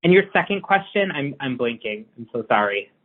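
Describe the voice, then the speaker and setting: A man's voice: mid-range voice, very animated, a little fast. Man, panelist on a video call; rambling, filler-heavy; tinny phone-like sound. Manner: rambling, full of fillers and restarts. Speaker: panelist on job hunting. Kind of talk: casual panel advice.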